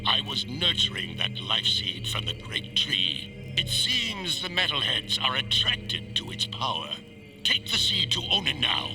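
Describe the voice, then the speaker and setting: An actor playing a grumpy, bossy elderly sage in a video game, grudging and lecturing. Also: male voice, fairly high pitch, far from the mic, exaggerated voice, rough recording. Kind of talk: video game cutscene acting. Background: music.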